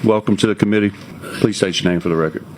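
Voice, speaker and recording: A man's voice: on the low side, fairly even intonation, quick pace, slight background noise. A man moderating a formal hearing as chairman, in a clipped manner that repeats itself. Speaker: committee chairman. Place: government committee hearing room. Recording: busy hearing room with people behind.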